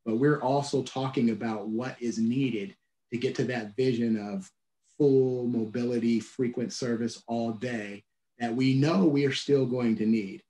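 A middle-aged man, a transit agency leader, answering questions on a video call in a measured way, restarting phrases and filling pauses with "uh". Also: mid-range voice, steady tone, mellow. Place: office at home or work. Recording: echoey room on a video call.

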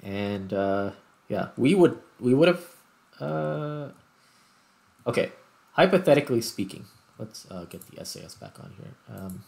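A man's voice: medium pitch, animated, measured pace, creaky, a little mellow. A man giving casual gameplay commentary, thinking aloud as he plays. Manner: thinks aloud while playing. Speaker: gaming video host.

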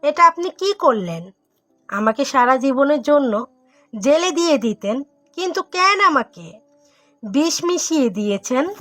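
Woman as high, pleading cornered wrongdoer. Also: big pitch swings, measured pace, pauses often, bright, forceful tone, no room sound, exaggerated voice. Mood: shocked, anguished. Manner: pleading, emotional. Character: cornered wrongdoer begging for mercy, secretly spiteful. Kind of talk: dramatised audio story. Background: music.

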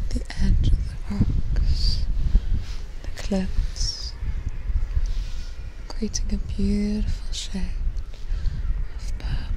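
A young woman whispering a soothing, hushed ASMR guided relaxation, close to the microphone outdoors. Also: mid-range voice, rough recording. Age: young adult. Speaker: ASMR host. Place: outdoors on a seaside cliff. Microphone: close binaural microphone.

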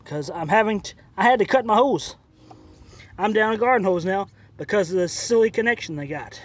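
A man's voice: high voice, expressive, fairly quick. A man giving a casual spoken product review outdoors, talking plainly and repeating his complaints, filmed on a handheld phone. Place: outdoors by a driveway and lawn.

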